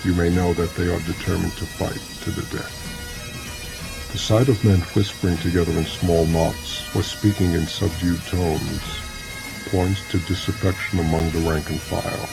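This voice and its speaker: Very deep, gravelly male narrator. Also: steady tone, unhurried, music in the background, low-quality recording. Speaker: audiobook narrator. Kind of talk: scripted dramatic reading of an ancient military treatise.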